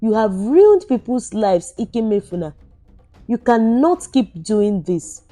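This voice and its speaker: A horrified young man in a storybook tale, acted out by a woman narrator. The speech is blunt and accusing yet mellow in tone. It moves slowly, with music underneath. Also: fairly high pitch, sing-song. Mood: stern, horrified. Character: horrified, accusing young male employee of a barber.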